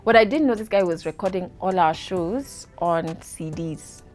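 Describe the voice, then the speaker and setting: A Kenyan woman in her thirties tells her story in a casual interview, her voice lively and quick to laugh. She drops Swahili words into her English, with faint music playing behind her. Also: on the low side. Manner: animated, laughing, mixes in Swahili. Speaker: guest.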